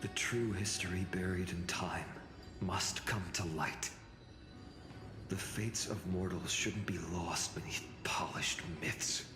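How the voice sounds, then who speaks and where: A man's voice: low voice, steady tone, takes it slowly. A man playing a noble, earnest young warrior lord in a fantasy game, speaking in a declamatory way. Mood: solemn resolve. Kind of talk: dramatic fantasy game voice acting. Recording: echoey reverb, music behind.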